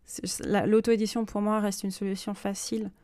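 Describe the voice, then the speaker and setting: A woman's voice: medium pitch, level tone, brisk, dark tone, no room sound, clean studio sound. A woman hosting a personal podcast, speaking unscripted and reflectively, often hesitating as she searches for her words. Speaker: podcast host and self-published author.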